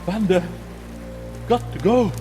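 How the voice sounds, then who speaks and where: Guy acting out a tortured defiant inquisitor, pitched up and strained, halting, slow, music behind. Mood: shaken, urgent. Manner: halting, emphatic, broken phrases. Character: tormented, defiant male inquisitor, strained and desperate.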